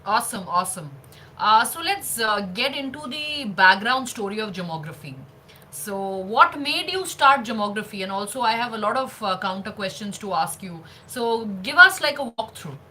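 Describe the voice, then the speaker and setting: Bright-voiced woman hosting an interview. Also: fairly high pitch, lively intonation, moderate pace, slight background noise. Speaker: interview show host. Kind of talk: conversational interviewing.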